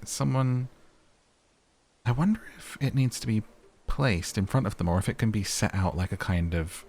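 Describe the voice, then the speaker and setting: A man's voice: fairly low pitch, creaky, a little mellow. A man giving casual gameplay commentary, playful and chatty as he improvises. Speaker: gaming video host.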